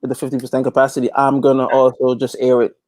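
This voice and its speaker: A man from Guyana giving a casual interview as a guest, informal and halting, repeating phrases as he thinks. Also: mid-range voice, completely flat delivery, a little mellow, no room sound, clean studio sound. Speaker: interview guest, gospel reggae artist and youth pastor.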